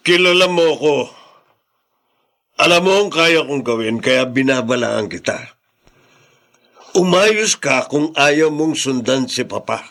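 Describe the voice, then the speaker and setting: A man's voice: fairly high pitch, animated, slow, pauses often, a bit bright, exaggerated voice. A man playing a greedy, brazen and menacing brother-in-law in a Filipino radio drama, mocking and threatening.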